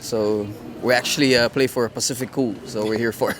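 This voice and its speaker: A male bass player answers in a laid-back, hesitant way full of fillers. Faint outdoor ambience sits behind him. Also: steady tone, brisk, noisy background. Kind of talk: casual street interview.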